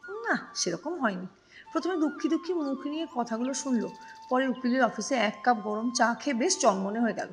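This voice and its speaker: A woman's voice: on the high side, expressive, normal speed, faint music behind, natural voice. Woman voicing an easygoing, simple talking blue shirt. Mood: gossipy. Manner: chatty, reassuring, plain-spoken.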